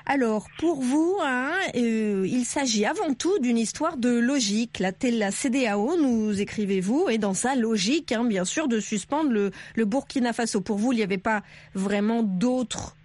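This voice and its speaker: A woman's voice: a little high, unhurried, darkish tone, dry recording. A woman hosting a radio call-in show, putting questions to listeners and moderating. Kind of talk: moderated phone-in interview.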